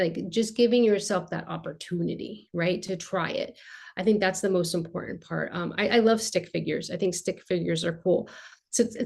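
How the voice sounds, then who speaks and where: A woman's voice: on the low side, vocal fry. A woman in her thirties, an art therapist, explaining her work in a casual video-call interview with lots of fillers. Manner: animated, explains with hand gestures, many fillers. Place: home art studio with shelves. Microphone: video call webcam.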